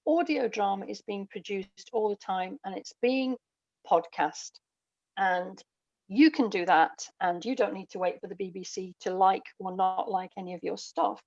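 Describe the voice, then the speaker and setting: A woman's voice: medium pitch, moderate pace, vocal fry, a little mellow, dry recording, highs cut off. A middle-aged woman guest, a writer and performer, telling stories conversationally in an interview. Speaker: guest, audio dramatist and performer.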